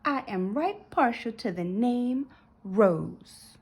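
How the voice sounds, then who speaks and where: A woman's voice: on the high side, animated, takes it slowly, a little mellow, slightly rough, full character voice. A woman voicing a polite, determined newborn girl with a folksy, declarative way of talking in a children's storybook. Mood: delighted, dreamy. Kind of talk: children's storybook read-aloud.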